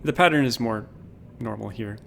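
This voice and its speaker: A man giving casual, unhurried gameplay commentary on a livestream, in a calm, low-key voice, thinking aloud as he goes. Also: vocal fry, slight background noise.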